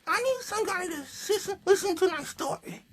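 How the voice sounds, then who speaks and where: A man's voice: extremely high pitch, lively intonation, fairly quick, voice pushed up. A man voicing a sassy little girl puppet in a children's puppet show, blunt and teasing. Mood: thoughtful, insistent.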